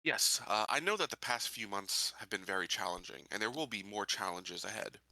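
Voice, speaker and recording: A resolute male sheriff candidate played by a man at a game table delivers an earnest, measured political statement. His voice is lowish and very bright, recorded dry. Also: expressive, vocal fry, no room sound, full character voice, rough recording. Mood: earnest, determined.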